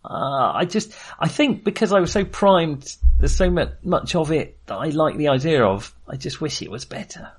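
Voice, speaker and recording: A man's voice: fairly high pitch, animated, moderate pace, hardly any pauses, husky. A man co-hosting a podcast in a casual film discussion, talking in a hesitant, hedging, apologetic way.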